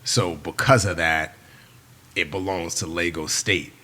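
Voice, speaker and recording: A man's voice: sits low, measured pace. A man in his forties, from Nigeria with Yoruba as his first language, telling stories as a podcast guest and acting out the people he quotes. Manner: animated storyteller who acts out quoted people. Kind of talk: storytelling in a long-form interview.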